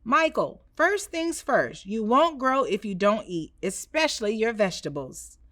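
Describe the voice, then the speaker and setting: A woman voicing a loving, devout mother in a children's storybook, with a measured, caring manner. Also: fairly high pitch, very animated, a bit bright, no room sound, studio quality. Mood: firm.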